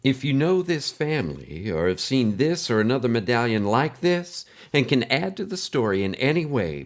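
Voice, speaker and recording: A man's voice: medium pitch, natural voice. A man playing a folksy, heartfelt podcast host who addresses the listeners directly in a scripted drama. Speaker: podcast host in a fiction show.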